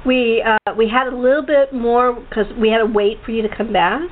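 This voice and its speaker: A woman hosting a casual interview, speaking haltingly, often breaking off and repeating words. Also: fairly high pitch, steady tone. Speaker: host of a talk show. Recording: muffled tinny phone line with faint noise.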